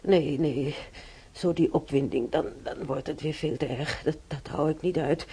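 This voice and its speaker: A frail older woman in a hospital radio drama, very raspy and slow. She is weary and in pain, stumbling over repeated words and sighing in a soft, dark voice. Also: sits low, heavily acted. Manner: halting, repeating words, moaning sighs. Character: frail old female hospital patient, weary, in pain, confused. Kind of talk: radio play acting.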